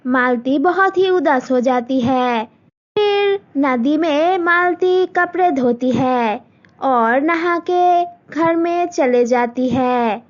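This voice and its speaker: A woman's voice: sits high, slow. A woman narrating a cartoon story, greeting friends and telling it simply. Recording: dull lo-fi.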